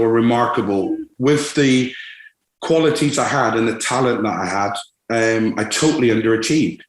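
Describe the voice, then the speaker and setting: A man's voice: medium pitch, reverberant, low-quality recording. Scottish-born man with a West Country accent. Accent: West Country English. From Scotland. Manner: frank, reflective, many restarts and fillers. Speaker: football manager guest. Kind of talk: candid interview.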